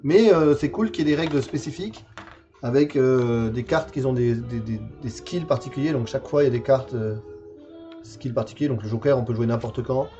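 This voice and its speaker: Man in his forties, casual stream host, hesitant, repeats words, dull lo-fi sound, soft background music. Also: medium pitch, brisk. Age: 40s. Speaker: streamer presenting a game collection. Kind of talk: casual livestream chat.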